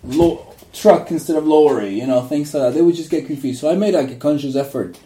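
Man from Uruguay, Spanish native speaker, very dark mellow voice, rambling with restarts, echoey room. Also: on the high side, fairly quick. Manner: rambling, frequent restarts and fillers. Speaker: guest. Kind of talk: relaxed interview answers.

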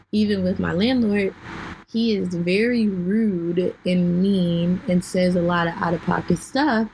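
A young woman hosting her own podcast, losing her voice and clearing her throat, talking candidly to listeners with many fillers. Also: fairly low pitch, darkish tone, low-quality recording. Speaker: podcast host. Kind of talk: personal talk to listeners. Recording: faint background noise.

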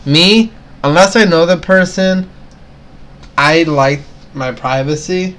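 A man's voice: a little high, slow pace, fairly bright tone. Rambling young man chatting casually. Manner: rambling, hesitant with fillers and restarts. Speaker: co-host. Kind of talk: casual chat.

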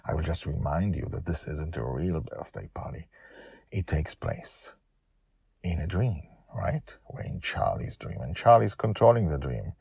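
Low voiced guy doing a story podcast, really swingy delivery, emphatic, dry close sound. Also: expressive, a little slow, vocal fry, darkish tone, slightly rough, no room sound. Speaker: podcast host and author-narrator. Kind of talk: animated podcast introduction and story narration.

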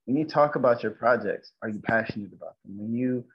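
A man's voice: medium pitch, fast talker, husky. Man giving earnest advice over dull, lo-fi audio. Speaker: UX designer and mentor, interview guest. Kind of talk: casual interview chat.

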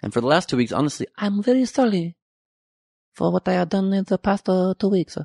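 A man's voice: high-pitched, sing-song, fairly quick, vocal fry, a little mellow, no echo, clean studio sound. A young man giving a comedic, profane monologue about the news with joking asides. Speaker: comedy commentary host.

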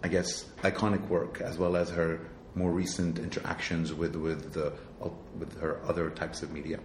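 Male interview host, very low voice, rambling delivery, echoey room. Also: flat delivery, normal speed, slight background noise. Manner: rambling, restarts phrases often. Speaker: podcast host. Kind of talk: casual interview.

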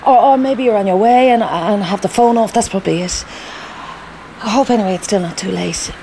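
Actress as an anxious, pleading woman, creaky voice. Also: medium pitch, dark tone, slight background noise, natural voice. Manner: hesitant, halting, emotional. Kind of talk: radio drama acting.

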